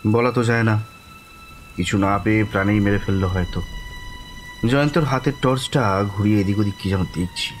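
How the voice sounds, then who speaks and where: Actor as low-voiced, mild ghost-story teller. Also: male voice, faint music behind, close to an everyday voice. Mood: rueful, uneasy. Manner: measured, rueful, patient storytelling. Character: mild, easygoing man recounting his own ghostly night. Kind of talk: first-person horror audio drama narration. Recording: rain ambience behind voice. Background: rain.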